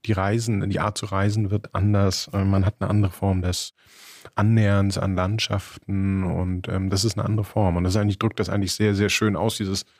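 A man co-hosting a book podcast, talking in a thoughtful, halting way with many fillers and restarts. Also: low-pitched, flat delivery, a little mellow, no room sound, studio quality. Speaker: podcast co-host, book critic. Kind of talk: casual book discussion.

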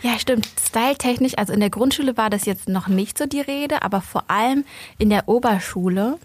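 A woman in her thirties, a podcast guest, telling lively stories in a casual chat and laughing often. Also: on the high side, fairly quick, a little hiss or hum. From Germany, Berlin.

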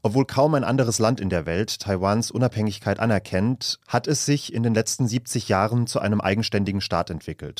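A man hosting a news podcast, narrating a scripted report in a calm, measured way from an office. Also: lowish voice, dry recording, studio quality. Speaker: podcast host.

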